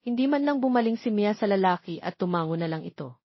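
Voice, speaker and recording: A woman's voice: mid-range voice, animated, quick pace, no echo, lo-fi sound. A woman narrating a scripted romance story as an audiobook reader.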